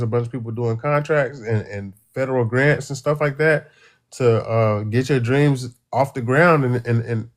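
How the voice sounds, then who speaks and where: Man caller talking in halting restarts. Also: medium pitch. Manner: halting, many restarts and fillers, earnest. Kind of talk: casual opinion on a call.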